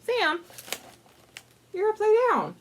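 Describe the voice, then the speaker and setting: A woman hosting a casual storytime, chatty and informal, with playful, dramatic emphasis and drawn-out words as she tells a picture-book story. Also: very high-pitched, huge pitch swings, fairly bright tone. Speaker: librarian storytime host.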